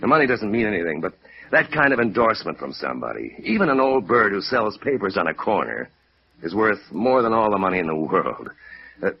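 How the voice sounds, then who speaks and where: A man's voice: fairly low pitch, very animated, brisk, breathy edge, full character voice. An actor playing an earnest, sentimental middle-aged insurance claims man in a 1950s radio drama, talking conversationally. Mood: earnest, wistful. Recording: muffled vintage radio recording.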